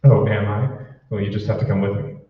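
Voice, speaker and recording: A man's voice: on the low side, fairly even intonation, fairly quick, natural voice. A man playing an anxious, furtive conspirator in an old-time radio drama, with a hesitant, secretive delivery. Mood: insistent. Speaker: amateur stage actor. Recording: roomy, distant, dull hall recording with hiss.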